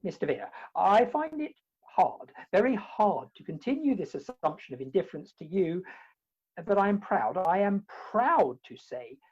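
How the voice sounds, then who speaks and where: An actor playing a flustered, evasive gentleman in a comic radio farce, pompously formal and stammering, recorded over a video call. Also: high-pitched, sing-song, normal speed, slightly rough, heavily acted. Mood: mock gallantry. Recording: dull, lo-fi video-call sound.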